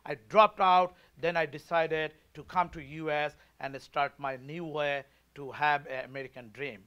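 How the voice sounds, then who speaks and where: A man's voice: a little high, steady tone, moderate pace, fairly bright tone, clear, resonant tone, dull audio. A man in his forties from Bangladesh with a Bangladeshi accent, giving an emphatic, rousing campaign speech at a political forum. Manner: emphatic, rousing, leads call-and-response. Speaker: party chair candidate.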